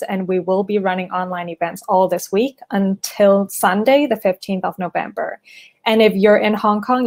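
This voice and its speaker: Female event host, medium pitch, reading a prepared welcome and housekeeping notes. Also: a little mellow. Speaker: festival team member introducing an online event. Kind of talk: scripted event introduction.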